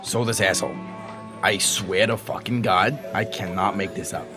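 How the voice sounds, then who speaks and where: A man's voice: fairly low pitch, fairly even intonation, normal speed, lightly acted. A man acting a foul-mouthed, teasing police colleague in casual audio drama banter, drawling and crude. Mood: amused, teasing. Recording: music behind the voice.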